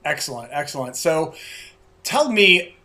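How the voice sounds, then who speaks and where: A man's voice: on the high side, big pitch swings, measured pace, pushed and bright. A man hosting an interview, speaking emphatically and repeating words for effect. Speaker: interview host.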